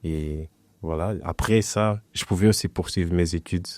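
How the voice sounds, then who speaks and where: Deep-voiced young man from Portugal. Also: moderate pace, darkish tone, no echo. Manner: hesitant, restarts phrases. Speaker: guest. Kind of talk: casual conversation.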